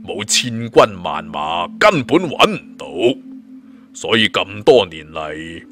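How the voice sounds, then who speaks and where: A man's voice: lowish voice, fairly bright tone, voice pushed down. A man voicing a boastful, fawning bandit underling in a Cantonese audio story, hearty and swaggering, with a Hong Kong accent.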